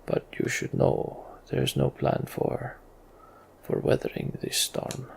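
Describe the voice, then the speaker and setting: A man voicing a wounded, proud king from a fantasy game in a halting, labored delivery. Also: mid-range voice, completely flat delivery, vocal fry, a little hiss or hum. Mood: pained, grave.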